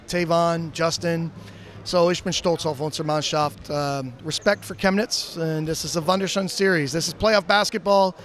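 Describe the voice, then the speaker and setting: Man speaking halting German. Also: a little high. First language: English. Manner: halting non-native German with English phrases mixed in. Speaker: head coach. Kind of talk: post-game interview. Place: indoor arena, in front of a sponsor wall. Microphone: handheld interviewer mic.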